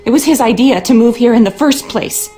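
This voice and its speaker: An actress playing a distraught, suspicious and demanding wife in a video game. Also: female voice, a little high, fairly quick, warm tone, a little hiss or hum, close to an everyday voice. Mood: indignant, worried. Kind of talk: video game character acting.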